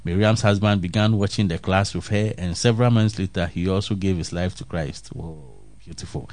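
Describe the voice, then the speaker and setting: A man's voice: low-pitched, steady tone, a little fast, darkish tone. A man reading a faith news story aloud, then musing on it in an earnest, reflective way with restarts and fillers.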